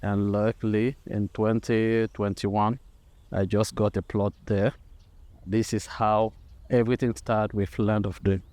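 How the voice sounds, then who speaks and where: A man's voice: fairly low pitch, level tone, unhurried, darkish tone, no room sound. A man from Cameroon speaking conversationally in a documentary interview, often saying "you know".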